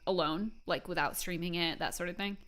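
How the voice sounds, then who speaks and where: A woman's voice: fairly low pitch, fairly even intonation, a little fast, a bit bright. A woman hosting a casual conversational interview, responding to her guest as an encouraging listener. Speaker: interviewer, host.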